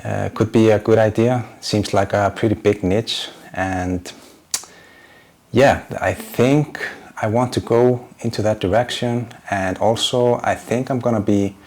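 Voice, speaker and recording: A man's voice: lowish voice, steady tone, a little slow, darkish tone. A man in his forties with an Icelandic accent, speaking English as a second language in a casual vlog, hesitant and often searching for words with many fillers. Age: 40s. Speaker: YouTuber and graphic designer. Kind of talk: casual unscripted vlog talk.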